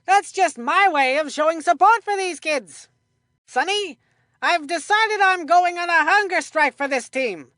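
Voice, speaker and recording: A folksy kid color commentator for a cartoon baseball video game. The voice is extremely high and bright, with emphatic, opinionated delivery. It is recorded very dry and close. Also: female voice, lively intonation, unhurried, dry recording, exaggerated voice. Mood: eager, opinionated. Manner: folksy, emphatic, addresses a broadcast partner.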